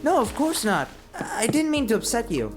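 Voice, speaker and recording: A man's voice: very high-pitched, big pitch swings, normal speed, music in the background. A man playing a polite, earnest young fox-spirit detective's partner in an audio roleplay drama, speaking carefully. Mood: apologetic.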